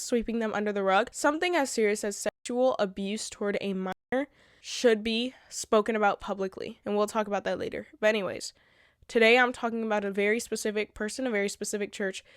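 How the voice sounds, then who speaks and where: Congested woman host, earnest and blunt, smooth clear tone in a dry close sound. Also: medium pitch, fairly bright tone, no room sound. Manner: earnest, blunt, reads from notes. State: congested from recent sickness. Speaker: channel host. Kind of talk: casual commentary video.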